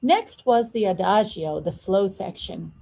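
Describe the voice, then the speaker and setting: A woman narrating a children's storybook expressively, with deliberate, drawn-out emphasis on key words. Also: mid-range voice, expressive, gravelly, mellow, breathy edge. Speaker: school superintendent reading a children's story aloud.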